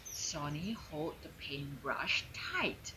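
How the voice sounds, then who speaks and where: A woman narrating a children's picture book in an expressive, deliberate, drawn-out way, as for young children. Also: low voice, noisy background. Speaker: storyteller, parent reading aloud.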